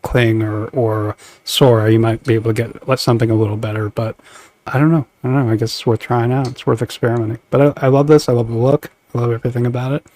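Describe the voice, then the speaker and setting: A man co-hosting a casual podcast, talking at a middle pitch with a flat, deadpan delivery he calls monotone. His jokes come out dry and understated. The recording is close and dry, with no room around the voice. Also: no room sound. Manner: dry deadpan joking, self-described monotone. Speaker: podcast co-host. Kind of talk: casual chat reacting to videos.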